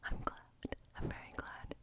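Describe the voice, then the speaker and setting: A playful, slightly boastful witch of the woods, acted out by a woman, speaks entirely in a breathy whisper. She is intimate and teasing, chatting to a guest in an ASMR fantasy role play and softly repeating words. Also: measured pace, natural voice. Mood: soothing. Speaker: ASMR performer.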